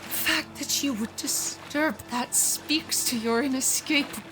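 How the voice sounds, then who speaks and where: Solemn, slow-speaking island leader. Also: female voice, high-pitched. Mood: defiant, grave. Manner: grave, dignified, measured. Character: solemn, reproachful female leader of a peaceful island people. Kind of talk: dramatic audio drama acting. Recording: loud music under the voice.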